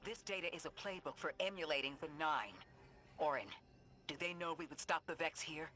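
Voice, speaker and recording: A voice actor playing a resolute mentor and leader who speaks firmly. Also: male voice, fairly high pitch, fairly quick, bright, forceful tone.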